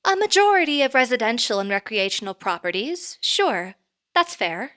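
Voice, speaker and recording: A businesslike woman manager in an audio drama. She has a slightly raised pitch and a poised, courteous manner. Her phrasing is formal and measured. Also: sing-song, a bit bright. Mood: courteous, intrigued. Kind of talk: naturalistic audio drama acting.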